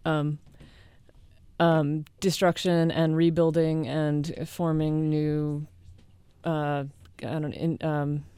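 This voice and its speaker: A woman in her late thirties chatting casually as an interview guest, halting as she explains things. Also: low-pitched, level tone, mellow, clear, resonant tone, dry recording. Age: late 30s. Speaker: guest, needle-piercing performance artist and teacher.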